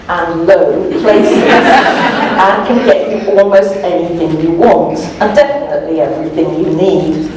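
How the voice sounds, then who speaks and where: A woman's voice: on the low side, darkish tone. Woman reading aloud in an echoey room. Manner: wry, amused, often chuckling. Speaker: author reading aloud from their own novel. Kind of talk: live comic book reading to an audience. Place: indoor live event room. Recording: echoey room, distant mic, background noise.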